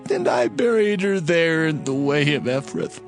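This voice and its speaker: An actor playing a solemn, aged dying patriarch in a dramatized scripture reading, declaiming haltingly in a creaky voice. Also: male voice, on the high side, big pitch swings, soft background music. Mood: mournful. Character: Israel, aged dying biblical patriarch, solemn, blessing his sons.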